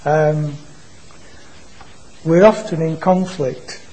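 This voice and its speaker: Soft-spoken preacher. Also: male voice, on the high side, fairly even intonation, husky, poor audio. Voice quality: quiet voice. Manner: halting, repeats and restarts words. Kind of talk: informal church sermon. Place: church meeting. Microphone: microphone being adjusted.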